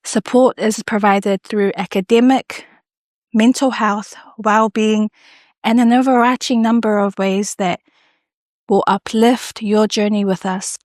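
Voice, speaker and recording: A woman's voice: medium pitch, steady tone, measured pace, a little mellow, no room sound, clean studio sound. A woman giving scripted promotional narration with a polished, welcoming delivery.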